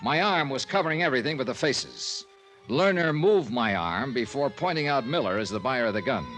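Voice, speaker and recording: A man's voice: mid-range voice, animated, fairly quick, fairly bright tone, faint music behind, lightly acted, poor audio. A man playing a wry, persistent insurance investigator, narrating and talking in clipped 1950s radio drama style. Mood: wry, measured. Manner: punchy, clipped, conversational. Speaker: narrator and lead detective. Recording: old-time radio recording.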